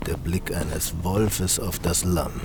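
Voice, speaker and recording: A man's voice: deep voice, slow, darkish tone, slight background noise. A man narrating a scripted fantasy audio drama in a theatrical, sardonic storytelling style.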